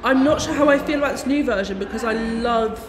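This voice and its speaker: A woman's voice: a little high, quick pace, music in the background. Enthusiastic young woman with Midlands accent. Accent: English Midlands. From English Midlands. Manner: gushing, enthusiastic fan talk. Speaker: livestream co-host. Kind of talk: casual panel chat. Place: indoor shop space with flower backdrop. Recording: echoey room with long reverb, crowd behind.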